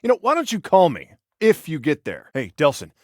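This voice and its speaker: An actor playing an exasperated, protective older-brother police officer in a video game, sarcastic and by-the-book, talking with conversational hesitations. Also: male voice, on the high side, wildly swooping pitch, fairly quick, darkish tone, dry recording, studio quality. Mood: sarcastic, dry. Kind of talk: video game voice acting, one side of phone conversations.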